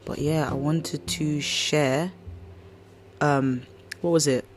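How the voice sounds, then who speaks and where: A woman with a croaky, hoarse voice hosting a casual podcast, rambling and slangy as she chats. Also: sits low, steady tone, slow pace. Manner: chatty, slangy, rambling storyteller. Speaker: podcast host. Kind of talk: casual chat.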